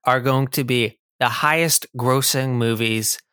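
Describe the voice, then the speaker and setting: A man's voice: medium pitch, steady tone, a little slow, sharp tone, clear, resonant tone, dry recording, clean studio sound. A man hosting a casual movie review podcast, bantering wryly with his co-host. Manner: wry, bickering with co-host.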